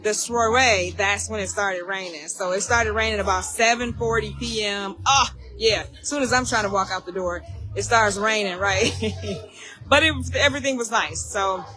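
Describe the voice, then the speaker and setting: A hoarse woman, hosting a casual live chat for alumni, talking fast and chatty and repeating herself. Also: medium pitch, sharp tone. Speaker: alumni association host.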